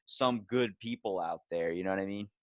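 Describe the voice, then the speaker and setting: A man hosting a podcast, telling casual anecdotes in an animated, sweary way and acting out the people he quotes. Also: deep voice, fairly quick, dry recording, studio quality. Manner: animated storyteller, profane, acts out quotes. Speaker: podcast host.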